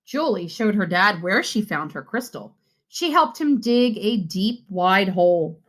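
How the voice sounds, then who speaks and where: A woman narrates a children's storybook at an unhurried pace. Her pitch moves up and down in a lively way, and each phrase is delivered deliberately and expressively for young listeners. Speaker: read-aloud reader.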